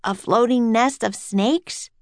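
A plucky little girl, voiced by a grown woman in a children's story. She is eager and curious, pitched well up, with wide-eyed wonder. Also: fairly even intonation, normal speed, creaky, dry recording. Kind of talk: children's audiobook character voice.

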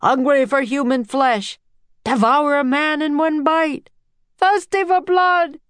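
A woman voicing frightened, aggressive male sailors in a children's storybook, yelling roughly. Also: high-pitched, steady tone, takes it slowly, no room sound, pitched above the performer's own voice. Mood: menacing.

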